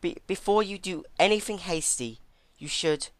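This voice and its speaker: Man playing a high-pitched, terrified pony princess. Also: expressive, moderate pace, fairly bright tone, dry recording. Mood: anxious. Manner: stammering, urgent, high-strained. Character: terrified, pleading royal pony princess, adult female.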